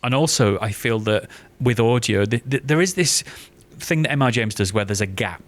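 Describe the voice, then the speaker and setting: A man's voice: medium pitch, moderate pace. A man talking earnestly and casually as an interview guest, often repeating words as he forms his thoughts. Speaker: guest, theatre maker and writer.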